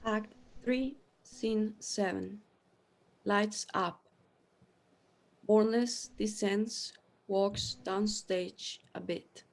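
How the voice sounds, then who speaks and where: Female actor, unhurried mid-range voice: plain-spoken guide and stage-direction reader, scripted amateur radio play. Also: medium pitch, fairly even intonation, rough recording. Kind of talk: deliberate scripted reading, amateur audio play.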